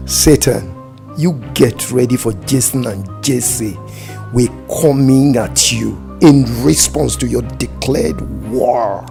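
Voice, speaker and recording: The fervent, creaky-voiced Nigerian brother in a gospel radio play who slowly rallies everyone to spiritual battle over music. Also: male voice, mid-range voice, dark tone. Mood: defiant. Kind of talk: gospel audio drama acting.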